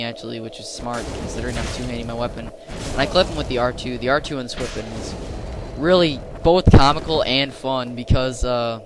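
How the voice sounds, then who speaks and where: A young man, slightly hoarse, giving rambling, casual commentary over his own gameplay as a channel host. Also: mid-range voice. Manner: chatty, rambling, with fillers and restarts.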